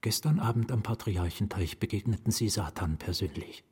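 An actor playing a middle-aged, secretive and wry asylum patient in a radio drama, probing and conspiratorial, often hushed and breathy. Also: male voice, on the low side, moderate pace. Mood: grave, conspiratorial. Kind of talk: German radio drama acting.

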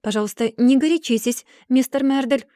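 A woman's voice: high voice, brisk, warm tone, no room sound, studio quality, lightly acted. A woman voicing a haughty society lady who scolds her husband in a cool, superior way, in a storybook reading. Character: haughty cold society lady, a rich man's wife.

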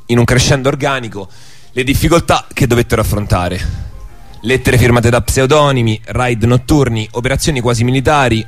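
A man hosting a radio programme, narrating a scripted historical documentary. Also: mid-range voice. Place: radio studio. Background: music.

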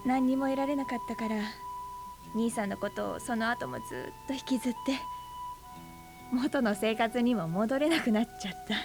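An actress in her forties playing a brooding, bitter aunt who is a schoolteacher, speaking softly and often in a half-whisper in a radio drama. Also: fairly high pitch, lively intonation, moderate pace, music in the background. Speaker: high school teacher.

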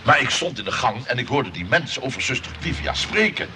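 A man's voice: medium pitch, very animated, a little fast, natural voice. A man acting in a comic radio play as a gullible, hesitant middle-aged shopkeeper who mutters and gets flustered. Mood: confiding, gullible. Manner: flustered, muttering repeated ohs and yeses. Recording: noisy old radio play recording.

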